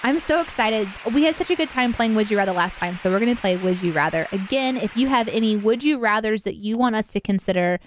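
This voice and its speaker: A woman's voice: mid-range voice, lively intonation, quick pace, few pauses, a little hiss or hum. A woman co-hosting a live stream in casual, giggly chat. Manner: chatty, giggly.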